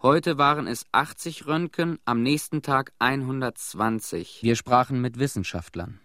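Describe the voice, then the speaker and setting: An actor playing an embittered, ailing young Soviet disaster veteran in a radio play, giving sombre testimony in terse, short sentences. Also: male voice, mid-range voice, unhurried, sharp tone, no room sound, clean studio sound. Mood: grim, matter-of-fact.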